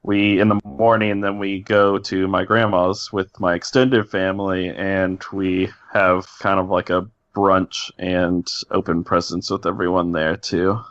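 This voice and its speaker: A man's voice: low voice, little pitch movement, measured pace, few pauses, a bit bright, no room sound. A man chatting casually as a podcast co-host, recorded with slightly dull, lo-fi audio. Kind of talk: casual chat.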